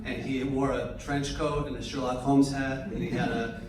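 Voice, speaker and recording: A man's voice: medium pitch, level tone, unhurried. A man, the show's creator, explaining choices in a thoughtful, anecdotal way with light chuckles. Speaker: series creator. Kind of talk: interview answers. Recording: echoey room, distant mic, noise.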